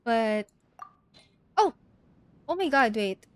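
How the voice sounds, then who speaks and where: A woman's voice: on the high side, lively intonation, slow pace, clean tone. A woman chatting casually on a game livestream, thinking aloud in a hesitant, giggly way, with game music behind her. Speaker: game streamer. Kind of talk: casual livestream chat while playing.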